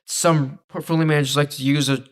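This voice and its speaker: A man's voice: fairly high pitch, steady tone, a little fast. A man co-hosting a podcast, explaining in casual chat with an informal, stop-start delivery that often repeats itself. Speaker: podcast co-host.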